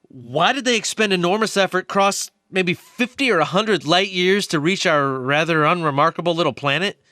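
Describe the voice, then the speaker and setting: Nerdy male investigator, played by an actor, radio play. Higher-pitched, forceful, dry close sound, earnest halting delivery. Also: no room sound. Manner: earnest, halting, stammering explanations. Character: excited nerdy male investigator explaining alien theories.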